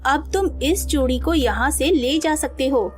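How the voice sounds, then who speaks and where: Magical queen, cartoon fable, female actor: elevated high voice, slow, stately, earnest and emphatic. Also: faint music behind. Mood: gracious, relieved. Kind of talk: Hindi cartoon story voice acting.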